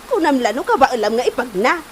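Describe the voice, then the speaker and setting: A woman's voice: sits high, big pitch swings, quick pace, a little hiss or hum. A woman acting an exasperated, chiding woman of the household in an Ilocano comedy drama, nagging with an Ilocano accent.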